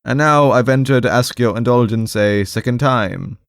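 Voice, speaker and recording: A man's voice: mid-range voice, normal speed, gravelly, clean tone, dry recording, clean studio sound, voice pushed up, close to an everyday voice. A man voicing a timid, defensive male clerk in an audiobook, hesitant, repetitive and half-aggrieved, with a strained, raised voice. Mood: ingratiating, nervous. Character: timid, defensive, rambling male clerk visiting his doctor. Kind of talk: audiobook character voice.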